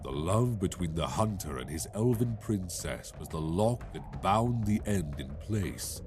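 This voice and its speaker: A man playing a grave, reasoning judge in a fantasy audio drama, with formal, measured wording. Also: sits low, expressive, music in the background. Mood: solemn.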